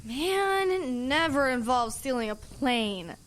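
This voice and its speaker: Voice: high-pitched, animated, takes it slowly, fairly bright tone, heavily acted. A young performer playing a mischievous kid hungry for adventure in a radio drama. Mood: mischievous, eager. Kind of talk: youth radio drama acting. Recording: noticeable background noise.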